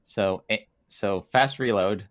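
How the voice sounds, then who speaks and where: A man plays a tabletop roleplaying game, talking casually through his actions. His voice is on the low side and the sound is dry, with little room in it. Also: slow, no room sound.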